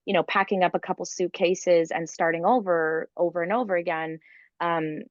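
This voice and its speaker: Low-voiced woman talking fast with lots of "like". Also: steady tone, dry recording, muffled recording. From Ukraine. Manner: fast, filler-heavy, enthusiastic with many "like"s. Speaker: guest entrepreneur. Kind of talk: conversational interview.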